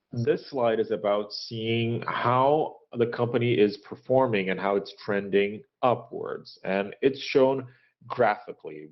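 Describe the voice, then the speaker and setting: A man giving a corporate earnings presentation in a halting, measured business manner, pausing often to hesitate. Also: lowish voice. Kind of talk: corporate earnings call presentation and question answering, unscripted. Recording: dull, lo-fi conference call audio.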